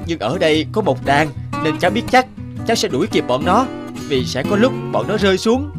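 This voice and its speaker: A man's voice: high voice, big pitch swings, fairly quick, over music. A man playing a clever, confident young peasant in a cartoon. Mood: confident explaining. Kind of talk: cartoon dub acting.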